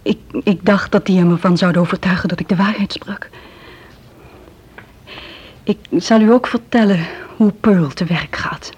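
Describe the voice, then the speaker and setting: An actress playing an anxious, addicted woman making a halting confession in a Dutch radio drama. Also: lowish voice, a little mellow, husky. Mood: subdued, explaining. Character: anxious drug-addicted young woman confessing a criminal scheme. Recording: muffled, lo-fi old radio play recording.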